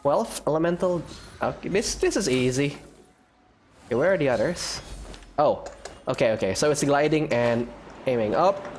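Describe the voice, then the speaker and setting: A woman's voice: low voice, lively intonation, moderate pace, long pauses, a little mellow, slight background noise. A woman gaming host giving casual let's-play commentary, reacting and thinking aloud as she plays.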